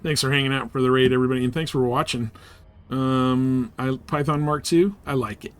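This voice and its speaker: An easygoing man hosting a live game stream. His voice sits at a medium pitch. He chats casually with viewers and often half mutters to himself. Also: fairly even intonation, brisk, smooth.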